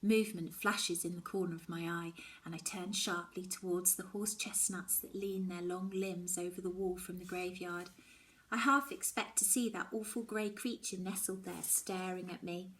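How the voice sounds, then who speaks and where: A woman reading a children's novel aloud in the first person, with expressive, dramatic emphasis. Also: lowish voice, moderate pace, rough recording. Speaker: storybook reader.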